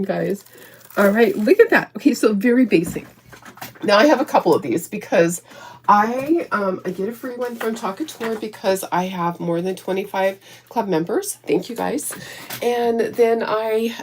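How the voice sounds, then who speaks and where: Squeaky-voiced chatty craft host woman. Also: a little mellow, slight background noise. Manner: rambling, self-interrupting, giggly. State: recovering from illness.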